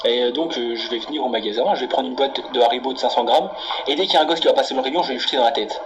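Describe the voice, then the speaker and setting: A man's voice: medium pitch, very fast, natural voice. A man putting on a menacing, candy-obsessed angry customer in a prank phone call, ranting and swearing insistently. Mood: agitated, insistent. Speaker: prank caller. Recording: very noisy phone prank recording.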